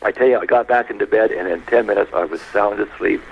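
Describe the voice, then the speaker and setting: A man guest on a radio talk show, explaining health matters in a measured, anecdotal way, step by step. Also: fairly low pitch, breathy edge, dull audio.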